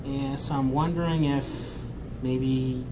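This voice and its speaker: A man's voice: mid-range voice, fairly even intonation, slow pace, noisy background. A man hosting a podcast, talking casually with fillers and then reading a prepared roundup. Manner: conversational, hesitant with fillers, reads a prepared roundup. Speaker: podcast host. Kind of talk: casual podcast chat and show recap.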